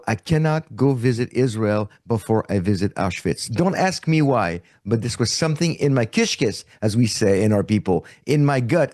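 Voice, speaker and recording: A man in his sixties who grew up in France, a guest in an interview, speaking haltingly with many fillers. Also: medium pitch, darkish tone, dry recording, clean studio sound. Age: 60s. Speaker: guest, author and educator. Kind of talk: interview conversation.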